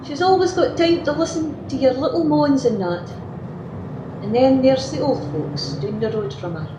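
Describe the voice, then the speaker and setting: A woman's voice: on the high side, lightly acted. A woman playing a gossipy, complaining working-class Scottish wife in a radio play monologue. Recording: roomy, noisy remote home recording.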